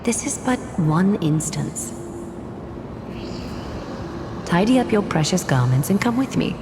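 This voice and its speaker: An actress playing an imperious, shrewd woman ruler in a video game, stately and measured, in a reverberant hall over music. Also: fairly low pitch, very animated, noisy background. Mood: stately, assured. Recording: echoey hall reverb, loud music behind.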